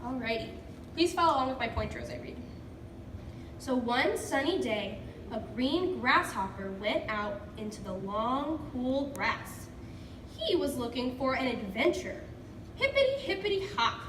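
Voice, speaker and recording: High-voiced woman teacher in an echoey room. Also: big pitch swings, a little slow. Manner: encouraging, prompting with frequent questions. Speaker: teacher leading a read-aloud. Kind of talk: interactive picture-book read-aloud to children. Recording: echoey room, distant mic, background noise.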